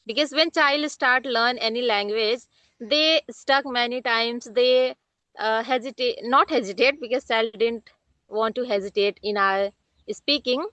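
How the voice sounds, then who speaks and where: A woman's voice: on the high side, unhurried, pushed and bright, dry recording, highs cut off. A woman with an Indian accent, a native Hindi speaker, joining a casual English practice chat with hesitant learner English and frequent laughs.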